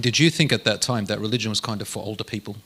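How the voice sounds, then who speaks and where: A man's voice: fairly low pitch, very fast, a bit bright. A male interviewer asking probing follow-up questions.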